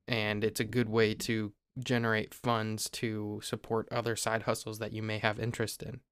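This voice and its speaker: A young man from Ohio, host of a podcast, explaining things in a relaxed, casual way with small restarts. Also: fairly low pitch, moderate pace, smooth, dry recording, studio quality. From Ohio, USA.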